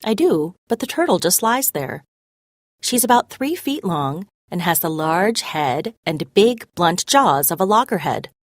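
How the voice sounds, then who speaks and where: A woman narrating a children's story aloud in an expressive, measured way. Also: on the low side, no echo, studio quality.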